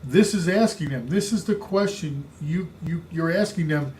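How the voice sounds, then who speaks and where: Booming-voiced man coaching salespeople. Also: normal speed, darkish tone, slight background noise, poor audio. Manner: emphatic, repetitive coaching delivery. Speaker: real estate sales trainer. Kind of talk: instructional podcast talk.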